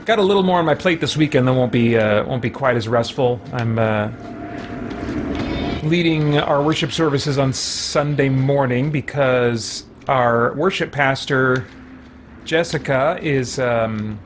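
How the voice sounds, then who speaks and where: A man's voice: mid-range voice, a little slow. A middle-aged man chatting casually as he hosts a game livestream, thinking aloud in a rambling way. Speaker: livestream host playing a video game. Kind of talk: casual live game commentary and chat. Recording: noticeable background noise.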